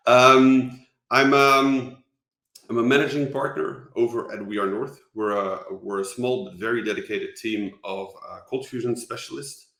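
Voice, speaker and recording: A man from the Netherlands with a Dutch accent, giving an online conference talk, halting with many fillers and restarts. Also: medium pitch, a bit bright, echoey room. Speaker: conference speaker.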